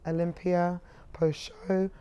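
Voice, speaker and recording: Bubbly young woman, croaky voice. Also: on the low side, level tone, mellow. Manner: bubbly, laughs often, fast restarts. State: croaky voice after a big event. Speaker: podcast co-host. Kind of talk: casual chat.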